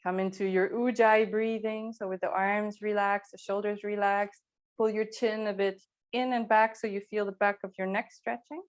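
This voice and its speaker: A woman teaching yoga, giving calm, unhurried and gently encouraging guidance through movement and breathing. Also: medium pitch. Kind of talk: guided slow movement and breathing instruction.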